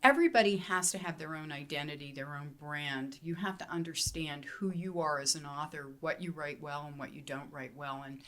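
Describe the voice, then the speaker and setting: Low-voiced woman author explaining calmly. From Pennsylvania. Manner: explanatory, measured, with fillers and restarts. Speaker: romance author. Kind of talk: panel interview answers. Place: hotel meeting room, seated at table. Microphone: handheld mic.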